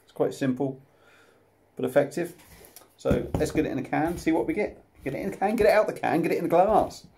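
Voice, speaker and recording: A man's voice: a little high, animated, a little fast, creaky, background noise. A man, casually reviewing a beer to camera at home, chatty and self-deprecating. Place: small room at home, indoors. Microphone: camera close up at desk level.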